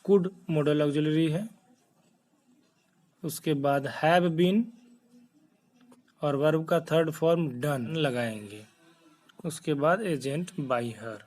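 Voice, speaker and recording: An Indian man giving a grammar lesson in a slightly high voice. He speaks extremely slowly and deliberately, laying out each part one step at a time, with English terms mixed in. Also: darkish tone.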